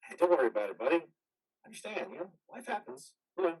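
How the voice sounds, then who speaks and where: An actor playing a traumatized, bitter and weary combat veteran in a modern stage play reading, with a husky voice. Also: male voice, a little high, very animated, quick pace, low-quality recording. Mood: reassuring.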